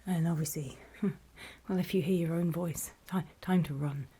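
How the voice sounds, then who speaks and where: A woman playing a wary, paranoid zombie apocalypse survivor in a horror ASMR roleplay, in a soft breathy whisper with hesitant, confiding delivery. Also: sits low, steady tone, heavily acted. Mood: hushed, wary warning. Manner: slow, hesitant, confiding, with pauses.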